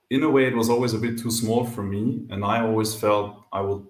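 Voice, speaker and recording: A man's voice: fairly low pitch, roomy sound. A man from Austria with an Austrian German accent, a podcast guest speaking English as a second language, talking thoughtfully and candidly with frequent hesitations. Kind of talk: casual interview chat.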